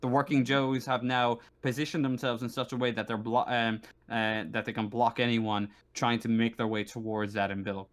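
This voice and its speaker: A man's voice: mid-range voice, little pitch movement, a little fast, hardly any pauses. Hesitant male game master narrating. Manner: hesitant, stumbling, repeating words. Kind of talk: improvised tabletop game narration.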